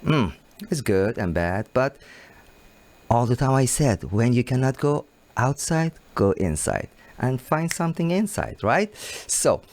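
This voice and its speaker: A man hosting a live call-in show, speaking halting English and repeating short phrases like "very good" and "thank you.". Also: medium pitch, very animated, darkish tone.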